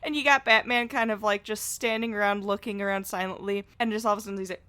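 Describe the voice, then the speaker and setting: A woman's voice: medium pitch, steady tone, quick pace, background noise. A woman guest on a podcast, giving her opinions in a frank, informal, rambling way. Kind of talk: casual opinionated podcast chat.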